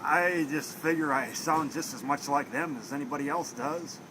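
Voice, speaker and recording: A man's voice: a little high, moderate pace, background noise. A young man from Minnesota with a Minnesotan accent, chatting in an interview in a rambling, friendly way with lots of fillers. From Minnesota, USA. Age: young adult. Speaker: interviewee, trainee at a blindness training center. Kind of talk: casual interview talk.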